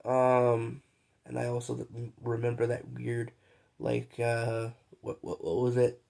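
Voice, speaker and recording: A man's voice: mid-range voice, monotone, takes it slowly, gravelly, a little mellow. A young man who is sick and coughs now and then, talking in a loose, rambling, slangy vlog monologue full of fillers. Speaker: vlogger.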